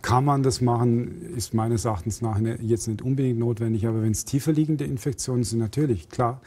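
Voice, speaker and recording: Husky middle-aged man explaining medicine. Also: medium pitch, steady tone, a little fast, dark tone. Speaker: hand surgeon, expert guest. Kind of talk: studio interview, explaining medicine conversationally. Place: TV studio. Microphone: clip-on lapel mic.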